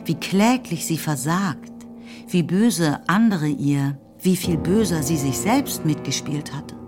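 A woman narrating a literary radio drama in a measured, deliberate way, as a composed storyteller. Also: low-pitched, expressive. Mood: reflective. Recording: music under the voice. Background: music.

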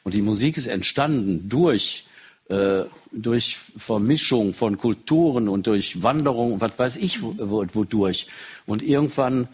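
A man with a husky voice speaks off the cuff in an interview, halting and searching for words, in blunt terms. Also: on the low side, expressive, a little mellow. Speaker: interviewed musician. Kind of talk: spontaneous interview talk.

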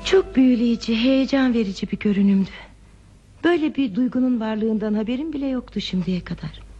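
Romantic female visitor, radio drama, high voice, animated melody, curious, dreamy, dull old recording. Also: brisk, breathy edge, soft background music. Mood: enchanted, dreamy. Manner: expressive, dreamy, theatrical phrasing. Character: curious, romantic woman visitor enchanted by passing trains. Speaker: radio play actor. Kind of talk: Turkish radio theatre acting.

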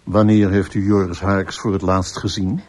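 An actor playing a composed, firm police inspector, polite and authoritative, in a radio drama. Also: male voice, deep voice, little pitch movement, normal speed, a little mellow, lightly acted. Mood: firm, probing. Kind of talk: Dutch radio drama acting.

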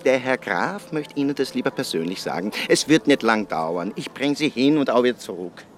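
An actor playing a discreet, stiffly polite count's servant in a radio drama. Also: male voice, mid-range voice, animated, fairly quick, soft background music, close to an everyday voice. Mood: polite, insistent. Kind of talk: German-language radio drama acting.

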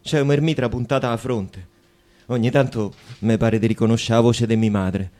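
A man's voice: medium pitch, steady tone, moderate pace, warm tone, close to an everyday voice. An actor playing a sombre Roman prisoner who longs for his mother, in a radio play with a Roman accent. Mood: sombre, resigned. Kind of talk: radio theatre monologue.

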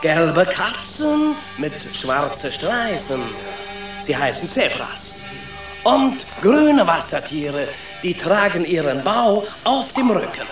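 Slow-talking Italian-accented boastful rat. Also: male voice, sits high, big pitch swings, roomy sound, music in the background, heavily acted. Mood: dreamy, enthusiastic. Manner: broken German syntax, theatrical storytelling. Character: boastful, carefree migrant rat, a well-travelled male storyteller. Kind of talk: children's radio play acting.

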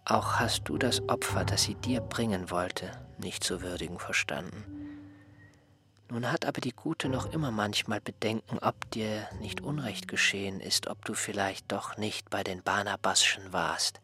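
A man's voice: on the low side, steady tone, moderate pace, pushed and bright. An actor playing an aggrieved male assistant who is no longer young, complaining reproachfully in a radio play. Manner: reproachful, complaining, mildly mocking. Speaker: surveyor's assistant.

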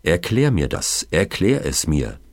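A man reading as a stern, deep-voiced father. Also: very animated, unhurried, no room sound, exaggerated voice. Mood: exasperated. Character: stern, impatient, exasperated father in a storybook.

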